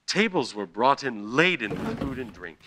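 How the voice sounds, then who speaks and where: A man's voice: mid-range voice, very animated, normal speed, heavy background noise, natural voice. A man playing the narrator of a Victorian Christmas radio play, telling it as scripted storytelling. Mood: descriptive.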